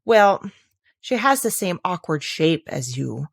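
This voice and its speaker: A woman's voice: lowish voice, very animated, slow, creaky, breathy edge, dry recording, clean studio sound, heavily acted. A woman voicing a haughty, blunt rose in a storybook, with a snooty and severe manner. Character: haughty, blunt, condescending female talking rose flower.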